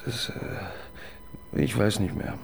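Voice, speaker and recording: An actor in a radio crime drama playing an awkward police assistant who stammers and sounds embarrassed. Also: male voice, extremely slow. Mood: sheepish. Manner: stammering, sheepish.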